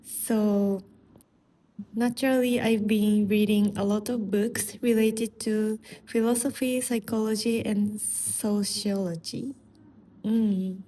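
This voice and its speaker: A woman in her late twenties from Japan joins a podcast as a guest. Her voice is mellow and warm, and she talks in a calm, measured, reflective manner. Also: medium pitch, low-quality recording. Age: 20s. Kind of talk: casual chat.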